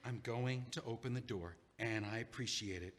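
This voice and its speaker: An actor playing a heartbroken young man who was just left, talking in a halting, stammering, dazed way in an audio drama. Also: medium pitch, fairly bright tone, big-room sound, natural voice.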